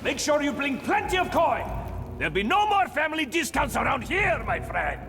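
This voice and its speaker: Smug mercenary boss, wildly swinging pitch. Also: male voice, sing-song, normal speed, bright, forceful tone, soft background music, heavily acted. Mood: smug, mocking. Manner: oily mock politeness, theatrical swings. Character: smug, treacherous male mercenary boss, mocking and greedy. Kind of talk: video game cutscene acting.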